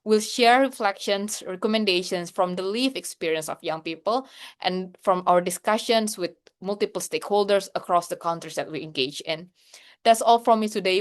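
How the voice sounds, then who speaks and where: A woman's voice: on the low side, fairly quick. A woman closing her webinar presentation over a video call in a polite, upbeat way.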